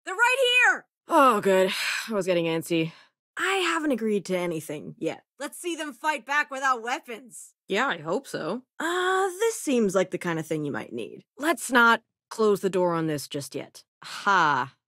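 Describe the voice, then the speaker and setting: A woman's voice: medium pitch, big pitch swings, a little slow, fairly bright tone, no room sound, studio quality. A woman voicing a sardonic, self-assured young female crewmate and researcher in a video game, with a casual, wry delivery. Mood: combat urgency.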